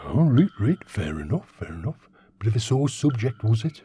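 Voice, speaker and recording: A man voicing a chatty, insistent oddball worm-like butler in a radio sitcom, with a raspy British voice. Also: medium pitch, big pitch swings, normal speed, dark tone. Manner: chatty, insistent, over-familiar. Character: talking worm and shambling butler, pestering oddball. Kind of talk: comedy radio sitcom acting.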